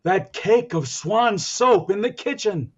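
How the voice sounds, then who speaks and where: A man's voice: high voice, lively intonation, slow pace, lo-fi sound, heavily acted. A man playing an eager radio announcer who hams up a society husband, with grand melodramatic diction in 1940s radio comedy style. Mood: melodramatic pleading.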